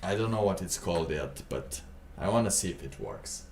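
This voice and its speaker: A man live streaming, chatting casually in a halting, rambling way with lots of fillers. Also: low voice, animated, a little mellow, slight background noise. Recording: roomy, distant mic with faint ambience.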